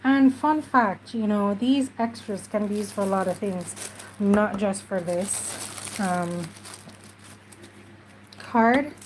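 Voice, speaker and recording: A woman's voice: medium pitch, background noise. A woman with a stuffy nose, chatting casually through a craft tutorial while she works. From Jamaica. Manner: chatty, unhurried, talks while working. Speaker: craft journaling host.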